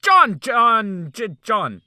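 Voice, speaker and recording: Goofy doctor for game fan dub, male actor: theatrical, exclamatory, bright forceful tone, wildly animated pitch. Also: very slow, clear, resonant tone, no room sound, heavily acted. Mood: exasperated. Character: goofy, theatrical male memory-altering doctor, eager but weary.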